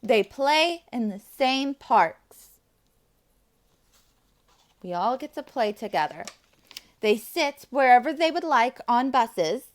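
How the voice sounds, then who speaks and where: A woman's voice: on the high side, sing-song, takes it slowly, pauses often. A woman preschool teacher reading aloud to young children, enthusiastic, with friendly asides to her little listeners.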